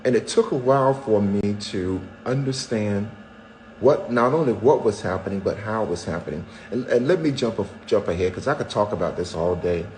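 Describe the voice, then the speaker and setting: An older man in his seventies talking openly and at length on a podcast. He tells stories with a wry, self-mocking humor, and his voice sits on the low side. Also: animated, brisk, darkish tone, a little hiss or hum, poor audio. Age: 70s. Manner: candid storyteller, wry self-mocking jokes. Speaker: podcast co-host, husband. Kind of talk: casual chat.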